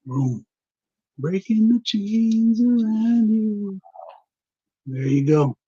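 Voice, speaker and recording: A man from West Texas chatting casually on a livestream, showing off items from his collection in a relaxed, drawling way. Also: high-pitched, drawn-out words, husky, no room sound. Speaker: comic collector guest. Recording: dull, muffled lo-fi mic.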